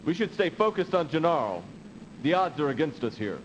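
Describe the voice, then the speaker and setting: Formal, cautious military aide. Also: male voice, on the high side, big pitch swings, muffled recording. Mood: dutiful. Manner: formal, measured briefing. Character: cautious, dutiful male military aide to a commander. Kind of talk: dramatic video game cutscene acting. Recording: slightly noisy old game audio.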